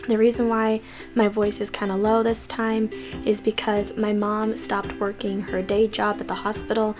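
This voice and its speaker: A young woman from California hosting a casual news vlog from her bedroom, keeping her voice lowered and stumbling a little as she reads articles aloud. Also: level tone, moderate pace. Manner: hushed and lowered to stay quiet, stumbling over words while reading. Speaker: vlog host. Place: messy bedroom at home. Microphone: desk mic seen in corner of frame. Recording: background music playing under voice.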